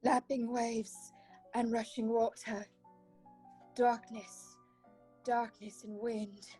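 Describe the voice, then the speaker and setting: An actress in a radio play voicing a fragile, eerie young woman speaking slowly from a trance, her breathy voice drifting toward whispers. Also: fairly high pitch, level tone, mellow, soft background music. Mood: entranced, eerie.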